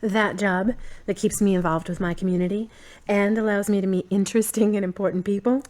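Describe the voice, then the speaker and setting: A woman playing a wry, thoughtful grown daughter in an audio drama, measured and dry. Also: mid-range voice, fairly even intonation, a little mellow, lightly acted. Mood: dry, affectionate. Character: wry, guarded adult daughter, thoughtful and independent.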